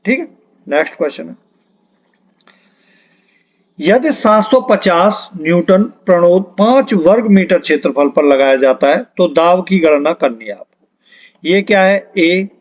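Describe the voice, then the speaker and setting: A man's voice: high voice, lively intonation, measured pace, pauses often, lo-fi sound. A man teaching an exam-preparation lesson step by step, explaining patiently and repeating key terms as he works through questions.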